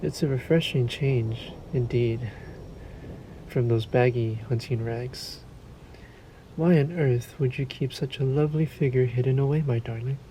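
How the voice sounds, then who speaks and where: Man playing a suave, unhurried, seductive vampire. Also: medium pitch, steady tone, dark tone, a little hiss or hum, natural voice, rough recording. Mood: seductive, teasing. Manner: courtly, teasing, drawn-out. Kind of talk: ASMR audio roleplay.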